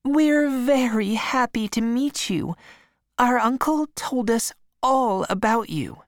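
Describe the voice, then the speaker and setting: A woman's voice: fairly high pitch, lively intonation, slow, dry recording, studio quality, pitched above the performer's own voice. A woman voicing a sweet, eager teenage girl in a storybook reading. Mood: happy.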